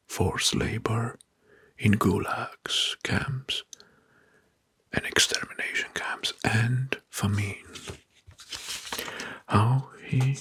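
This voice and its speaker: A man narrating in a whispered ASMR style, slow and deliberate with a breathy voice, close to the mic. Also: fairly low pitch, no echo. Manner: slow, deliberate, repeats words softly. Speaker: host of ASMR history video. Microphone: close mic, dry.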